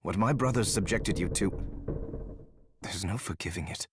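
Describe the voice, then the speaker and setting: An actor playing a guilt-ridden, loyal alchemist in a video game, grave and measured in his speech. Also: low voice, noisy background, lightly acted. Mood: regretful, somber. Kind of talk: video game cutscene acting.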